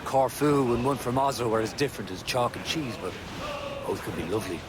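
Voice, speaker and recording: An actor playing a thoughtful, mild-mannered companion in a video game, speaking in a measured, dry way. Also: male voice, medium pitch, expressive, background noise, close to an everyday voice. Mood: reflective, dry. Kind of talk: video game cutscene acting. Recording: loud sea and boat ambience behind.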